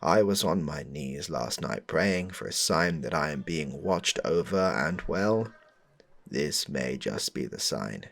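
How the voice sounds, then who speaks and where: Man playing a gravelly, deep-voiced, stammering smitten guy. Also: lively intonation, takes it slowly, a bit bright, exaggerated voice. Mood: mournful, melodramatic. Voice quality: low, gravelly, husky. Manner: stammering, earnest, overdramatic. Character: smitten, rambling, darkly suspicious man meeting his crush. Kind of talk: comedic audio roleplay, spoken to the listener.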